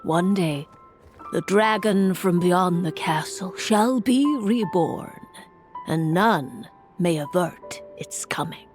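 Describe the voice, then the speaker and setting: A woman's voice: on the low side, takes it slowly, soft background music. A woman playing an elegant, enigmatic witch and prophetess in a fantasy game, grand and portentous.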